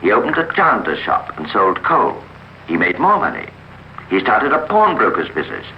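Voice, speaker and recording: A man narrating a 1950s radio drama in a measured, formal storytelling manner. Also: on the low side, wildly swooping pitch. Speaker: narrator and host. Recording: muffled, hissy old radio recording.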